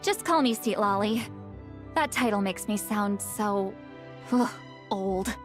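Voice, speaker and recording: A woman's voice: mid-range voice, takes it slowly, faint music behind, close to an everyday voice. An actress playing a proud, easily flustered elderly shaman in a video game, muttering theatrically in exasperation. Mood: composed, then annoyed.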